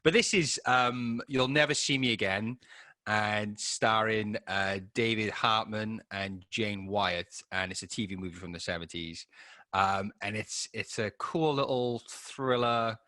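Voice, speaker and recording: Man, late 30s, lower-pitched, forward bright tone, dry close sound, informal hesitant film chat. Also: unhurried, no echo. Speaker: podcast co-host and filmmaker. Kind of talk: casual film review chat.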